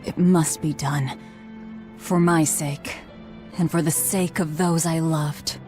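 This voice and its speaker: Vengeful young heroine; low-pitched, blunt, determined game delivery with some music behind. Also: female voice, fairly even intonation, takes it slowly, a little mellow. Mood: resolute. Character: grimly resolute young woman bent on vengeance, dry-witted. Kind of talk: English-dubbed video game cutscene acting.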